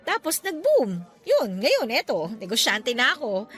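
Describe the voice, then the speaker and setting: A woman's voice: on the high side, huge pitch swings, measured pace, fairly bright tone, faint music behind, full character voice. A woman playing a playful, chatty young businesswoman meeting an old flame in an audio drama, with a teasing, exclaiming manner. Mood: frank, breezy. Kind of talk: Filipino audio drama acting.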